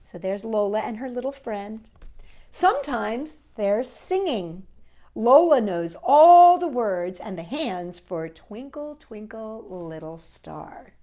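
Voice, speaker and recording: Unhurried, higher-voiced woman reading to children. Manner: expressive, addresses child listeners with simple questions and asides. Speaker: children's storytime host. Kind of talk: animated picture-book read-aloud with chatty asides to young listeners.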